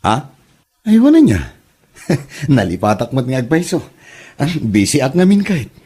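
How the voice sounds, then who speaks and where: A man's voice: medium pitch, wildly swooping pitch, moderate pace, dark tone, husky. An actor playing a jobless, sweet-talking young womanizer son who is evasive and short of money, in an Ilocano comedy drama.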